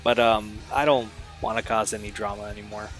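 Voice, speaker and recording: A man playing an apologetic, weary man who avoids conflict in an audio drama, hesitant and gentle. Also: on the low side, level tone, brisk, faint music behind, close to an everyday voice. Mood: resigned, wistful.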